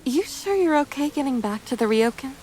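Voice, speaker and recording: Woman playing a gracious, higher-voiced mother. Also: lively intonation, fairly quick, dark tone, faint music behind. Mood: gracious, amused. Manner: polite, articulate, amused. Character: gracious, softly amused mother. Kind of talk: animated motion-comic voice acting.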